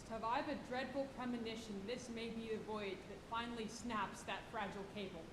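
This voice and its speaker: A woman's voice: fairly even intonation, brisk, close to an everyday voice. A woman playing a stern, cautious whaling first mate, with formal, theatrical delivery on stage. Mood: grave, brooding. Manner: formal, commanding, rebuking. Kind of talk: theatrical stage acting, Shakespearean diction. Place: college auditorium stage, live performance. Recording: echoey theatre stage, rumbling background noise.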